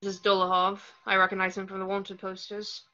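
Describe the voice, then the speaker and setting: Give the part of a teenage wizard boy, read by a man in an amateur table read. He is blunt, wry and suspicious of others. The voice is bright and forceful, coming through a dull video call. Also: sits high, monotone, brisk. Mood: wary. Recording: muffled video call audio.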